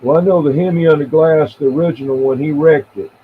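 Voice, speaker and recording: A man's voice: on the high side, fairly even intonation, quick pace. Older American man, tinny radio sound. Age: 70s. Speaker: CB radio operator and live streamer. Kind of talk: casual rambling radio chat. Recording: tinny band-limited radio audio with faint noise.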